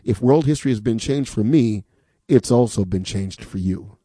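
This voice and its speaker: A man on a radio pledge drive makes an emphatic, persuasive appeal to listeners. His voice is dark and mellow, recorded close and dry in a studio. He asks rhetorical questions and repeats the call-in number again and again. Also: on the low side, moderate pace, no echo. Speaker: radio fund drive host.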